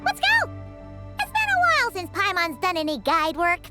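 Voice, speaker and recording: A woman's voice: very high voice, wildly swooping pitch, normal speed, exaggerated voice. A woman voicing a tiny, childlike, cheeky floating guide in a video game, exclaiming as she talks. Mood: eager. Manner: speaks of herself in third person, exclaims. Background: game music.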